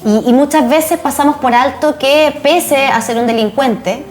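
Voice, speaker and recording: A woman's voice: sits high, a little slow, a little mellow, faint music behind. A Chilean woman hosting a conversational studio interview, speaking earnestly and reflectively and posing rhetorical questions. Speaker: TV interview host.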